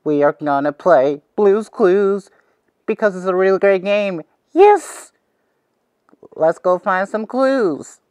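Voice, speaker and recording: A man's voice: sits high, animated, takes it slowly, a little mellow, clear, resonant tone, no room sound. A man playing a cheerful, eager young host of a preschool TV show, talking to the viewer in an exaggerated, wide-eyed way. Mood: excited. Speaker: children's show host character.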